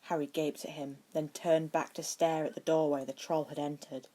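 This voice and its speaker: Woman narrating an audiobook, lowish voice, reading a story from a script. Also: flat delivery, normal speed, warm tone.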